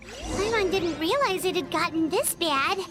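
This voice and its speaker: Very high, squeaky fairy sidekick. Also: female voice, very animated, unhurried, music in the background, full character voice. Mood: anxious whining. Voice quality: squeaky, childlike. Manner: refers to self in third person, exclaiming. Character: small childlike floating fairy companion, excitable, easily frightened. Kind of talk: English video game voice acting.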